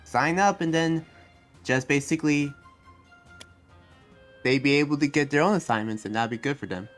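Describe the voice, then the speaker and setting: A man's voice: on the high side, a bit bright, natural voice. Rambling, earnest demon slayer played by a man. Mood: earnest, friendly. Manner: rambling, hesitant, halting explanations. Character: earnest demon slayer guarding his town. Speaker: roleplay player. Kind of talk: improvised Minecraft anime roleplay dialogue. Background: background music.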